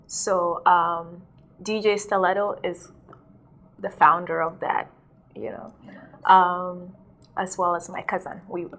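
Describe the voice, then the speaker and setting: A woman's voice: fairly low pitch, level tone, slow, pauses often, fairly bright tone. A young woman from South Sudan, a DJ and model, chatting casually in an interview, saying "like" a lot and laughing often.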